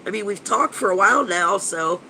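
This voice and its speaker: A man's voice: high-pitched, animated, fairly quick, pushed and bright, a little hiss or hum, low-quality recording. A man in his fifties with a stuffy, nasal voice, rambling and gushing in a casual live chat with viewers as a paranormal investigator, swearing now and then. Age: 50s.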